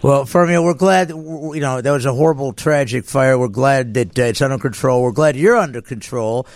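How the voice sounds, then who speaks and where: An American man hosting a casual radio interview, a bit halting, often repeating words as he goes. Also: medium pitch, dry recording. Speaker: radio show host. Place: radio studio.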